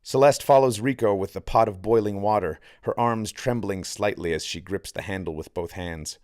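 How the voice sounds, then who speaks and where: Low-voiced man reading a novel aloud. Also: moderate pace, a little mellow, no room sound, studio quality. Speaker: author reading their own novel. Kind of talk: scripted audiobook narration.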